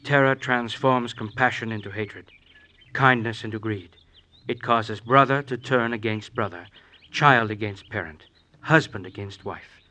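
Fervent young zealot, low voice. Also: male voice, a little slow, a little hiss or hum. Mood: fervent, worshipful. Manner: fervent, formal, swelling from hushed to forceful. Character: intense young male zealot, courtly and ingratiating. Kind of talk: old-time radio drama acting.

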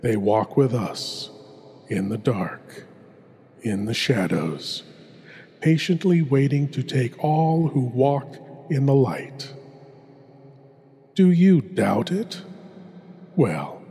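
A man's voice: medium pitch, sing-song, gravelly, dark tone, breathy edge. A man hosting a horror show as a sinister, teasing lord of the shadows, theatrical and ominous. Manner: slow, ominous, mock-sinister. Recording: long reverb, faint music behind.

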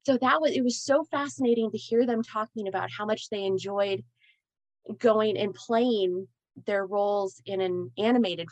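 A woman's voice: medium pitch, no room sound, rough recording. Woman host rambling thru her questions, lots of restarts, dry close mic. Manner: rambling, hesitant, many restarts. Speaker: interviewer, host. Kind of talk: casual interview chat.

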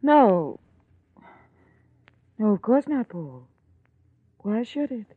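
A woman's voice: mid-range voice, big pitch swings, extremely slow, very noisy. An actress playing a haughty, scheming woman columnist in an arch, biting drawl, in old-time radio drama style. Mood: uneasy. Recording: muffled vintage radio recording, narrow band.

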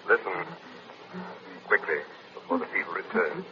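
Low, hushed, mysterious unseen avenger. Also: male voice, very animated, normal speed, vocal fry. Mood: urgent, hushed. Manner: hushed, insistent, commanding. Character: mysterious unseen male crime fighter, urgent and insistent. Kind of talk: old-time radio drama acting. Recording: muffled, noisy vintage radio recording.

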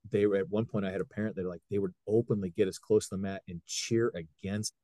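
A man in his fifties from Ohio, a high school wrestling coach who talks earnestly in a casual podcast chat and often acts out what people said. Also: fairly even intonation, fast, warm tone, dry recording. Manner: earnest, retells scenes by quoting people.

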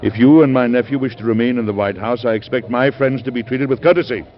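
A man's voice: mid-range voice, steady tone, fast talker, close to an everyday voice. Male actor as a blunt, commanding older president, old radio drama, muffled old audio. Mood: stern. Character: stubborn older male president, combative and loyal. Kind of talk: 1940s radio acting. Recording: dull old radio recording.

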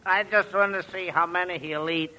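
A man playing a droll, deliberate, wisecracking husband in a 1940s radio comedy. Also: sits high, fairly even intonation, bright, forceful tone, rough recording. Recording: old radio recording with faint noise.

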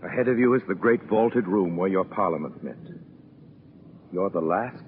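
A grave, ominous male narrator, played by a man in a 1940s radio play. His voice is very low and solemn, addressing a doomed prisoner with dramatic rhetoric. It comes through a thin, tinny old broadcast with some noise. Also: moderate pace, natural voice. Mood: grim, urgent.

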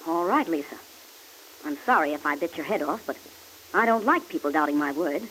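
A woman's voice: sits low, animated, brisk, fairly bright tone. A woman playing a straight-talking, big-hearted confidante in an old-time radio serial, blunt and folksy. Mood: frank. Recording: hissy, noisy old radio recording.

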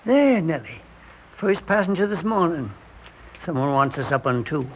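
Creaky old man, highish voice, folksy, sentimental, hesitant, radio drama, dull hissy recording. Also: sing-song, moderate pace. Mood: fond, wistful. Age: older. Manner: folksy, chuckling, hesitant, talks tenderly. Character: kindly 73-year-old elevator operator, sentimental and stubbornly hopeful.

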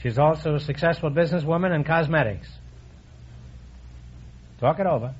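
A man's voice: fairly high pitch, a little mellow, husky. Wisecracking man hosting an old quiz show. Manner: wisecracking, quick puns. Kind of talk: joking quiz show banter. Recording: dull old radio broadcast with hiss.

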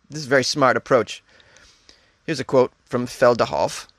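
A man with an ordinary, mid-pitched voice reads offbeat news items and breaks off into jokey, disbelieving asides. He keeps laughing at his own jokes. The sound is dry and close, with no room echo. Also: fairly bright tone. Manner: mock-incredulous asides. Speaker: host. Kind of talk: comedic news reading and riffing.

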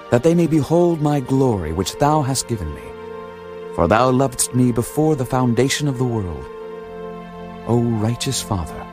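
Male actor as a grave, devout holy teacher: earnest, authoritative, lively intonation, scripture drama with clear music bed. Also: mid-range voice, lightly acted. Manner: measured, earnest, solemn scripture delivery. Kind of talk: dramatized King James scripture acting.

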